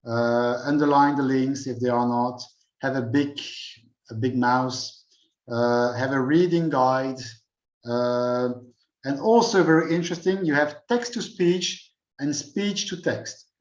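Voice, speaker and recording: Belgian guy demoing website settings, lots of ums, echoey room, kinda muffled audio. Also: medium pitch. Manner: unhurried, thinking aloud with many fillers. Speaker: presenter at a user group meeting. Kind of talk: screen-share software demo.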